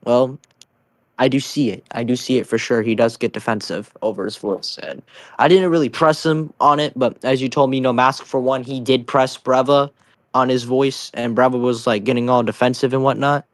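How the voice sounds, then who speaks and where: A young man streaming and chatting casually, rambling, with his pitch jumping up when he stresses a point. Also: steady tone, moderate pace, dry recording. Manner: rambling, swings up in pitch on emphatic lines. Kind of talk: casual chat.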